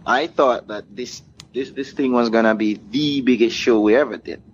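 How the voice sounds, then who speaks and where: A man's voice: mid-range voice, normal speed. Guy dj telling stories in an interview, does the dialogue, kinda muffled with noise behind. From Caribbean. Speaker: DJ guest. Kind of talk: casual anecdotal interview storytelling. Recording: muffled, clearly noisy room recording.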